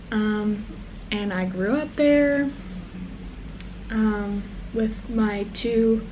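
A woman's voice: fairly high pitch, muffled recording, a little hiss or hum. A young woman from England who speaks with an American accent, rambling through a personal story to the camera with lots of ums and restarts. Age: young adult. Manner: rambling, with many ums and self-corrections. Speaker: vlogger. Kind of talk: casual chat to camera. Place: small indoor room. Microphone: camera mic close to face.

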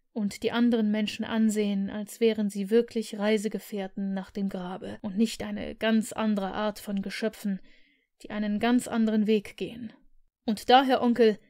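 A woman reading the part of a cheerful, warm-hearted young man who speaks earnestly and pleadingly. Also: on the high side, natural voice.

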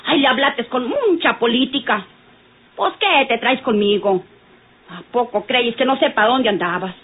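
A woman's voice: fairly high pitch, animated, unhurried, husky. An actress playing a touchy, nosy, folksy working-class Mexican woman who scolds, in an old radio drama. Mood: hurt, reproachful. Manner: quarrelsome, folksy speech. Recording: tinny, noisy vintage radio recording.

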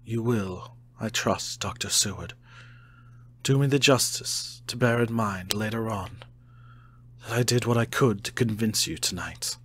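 A man's voice: on the low side, unhurried, fairly bright tone, breathy edge. A man reading aloud and voicing a desperate male asylum inmate who pleads to be released. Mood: quiet, courteous. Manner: pleading, desperate, rising to cries. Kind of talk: audiobook character reading.

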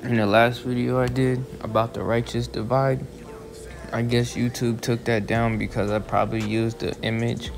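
A man in his twenties giving a rambling religious monologue, circling back and repeating his phrases. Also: mid-range voice. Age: 20s. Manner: meandering, repeats phrases, frequent restarts. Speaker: religious vlogger. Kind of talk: rambling monologue over still images. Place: voice-over, not in pictured scene.

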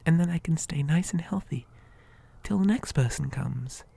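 A possessive living doll acted by a woman whispers in an ASMR horror roleplay. The voice is low and dark, with a creaky edge. It coaxes softly and hesitantly, with a gentle, childlike menace. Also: full character voice. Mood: calmly menacing. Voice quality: breathy whisper. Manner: soft, coaxing, slow and hesitant.

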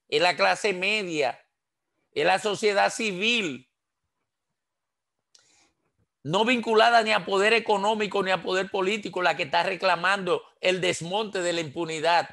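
A man's voice: high-pitched, fairly bright tone. A man in his sixties with a Dominican accent, giving impassioned political commentary over a headset on a video call, full of rhetorical questions. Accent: Dominican Spanish. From Dominican Republic. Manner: emphatic, rhetorical questions, gestures while talking. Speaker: political commentator on a radio panel. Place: small indoor room.

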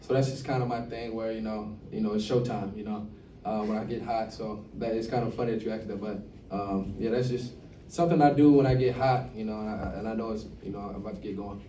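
A young man talks through his answers loosely, leaning on "you know" every few words. His voice sits mellow and unhurried. The sound comes from a mic across a roomy press space with a faint hum behind it. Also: on the low side, fairly even intonation. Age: young adult. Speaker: college basketball player. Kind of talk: postgame press conference answers. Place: press conference room. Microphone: table mic, recorded from across the room. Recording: echoey room, distant mic, faint hum.

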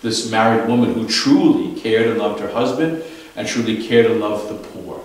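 A man in his fifties, a priest giving a daily homily in a small chapel, telling a saint's story in a relaxed, conversational way, recorded by a camera across the room. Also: on the low side, normal speed. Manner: conversational storytelling, fillers and restarts. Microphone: far camera. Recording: echoey chapel from far off.

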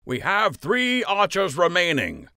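Formal rabbit master of ceremonies played by a male reader, proclaiming in a high, lifted storybook voice. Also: wildly swooping pitch, a little slow, bright, forceful tone, no echo, clean studio sound, heavily acted. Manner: calling out announcements, proclaiming. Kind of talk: audiobook character voice.

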